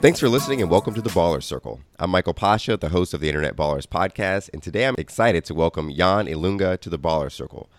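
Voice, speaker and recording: A man's voice: low voice, animated, fast talker, hardly any pauses, soft background music. A man hosting a podcast interview, upbeat and chatty, often adding "right?" and "you know". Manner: enthusiastic, filler-heavy, keeps asking "right?". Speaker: podcast host.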